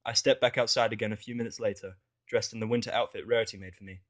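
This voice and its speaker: A man's voice: fairly low pitch, steady tone, fast, fairly bright tone, lo-fi sound. A man reading a scripted first-person fan fiction story as its narrator.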